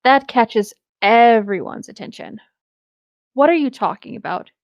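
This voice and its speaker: Female co-host for a read-aloud, slow-paced, slightly high voice, teasing, recorded dry. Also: expressive, no echo. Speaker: co-host reading story parts. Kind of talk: casual story reading with banter.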